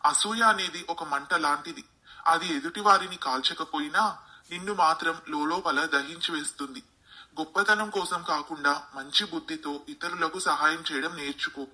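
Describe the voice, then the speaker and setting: A man voicing a wise, affectionate husband in a bedtime story, giving measured moral counsel. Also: fairly high pitch, pushed and bright, low-quality recording. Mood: calm, advising. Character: wise, affectionate husband gently counselling his jealous wife.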